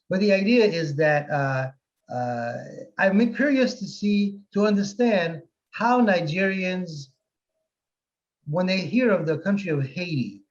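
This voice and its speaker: A man from Morocco hosting a casual interview, talking quickly and repeating words as he searches for them. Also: sits high. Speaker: host. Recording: dull lo-fi sound.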